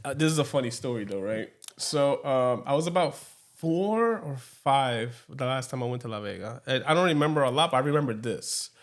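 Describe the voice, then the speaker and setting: A man's voice: medium pitch, a little fast. A young man co-hosting a podcast, telling stories in casual chat with frequent laughter and Spanish mixed into his English. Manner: laughs often, slips into Spanish, animated storytelling. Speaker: podcast co-host.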